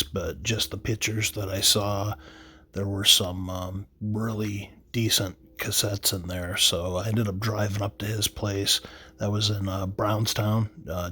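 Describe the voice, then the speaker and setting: Forceful, husky man telling a casual story. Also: fairly low pitch. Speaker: vlogger, cassette tape collector.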